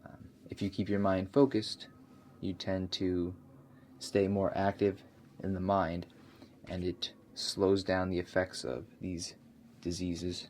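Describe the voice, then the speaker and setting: A man with a low voice speaks softly as a doctor in an ASMR roleplay. He puts his questions in an unhurried, reassuring, slightly hesitant way. A light layer of noise sits behind him. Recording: steady audible background noise.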